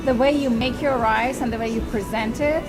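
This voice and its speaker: A woman from Iran chatting casually in a cafe, bubbly and quick to laugh, with lots of fillers. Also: on the high side, fairly even intonation. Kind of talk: casual chat. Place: busy cafe. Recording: loud music and crowd chatter. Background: loud music, crowd.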